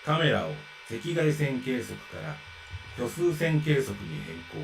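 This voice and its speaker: An actor voicing a formal android reciting system reports in a Japanese visual novel, clipped and procedural, with a Japanese accent. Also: male voice, mid-range voice, very animated, normal speed, over music.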